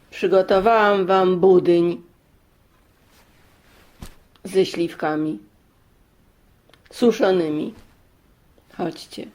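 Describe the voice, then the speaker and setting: A woman playing a sturdy village mother in a children's tale, patient, loving and firm, explaining earnestly. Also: on the low side. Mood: firm coaxing.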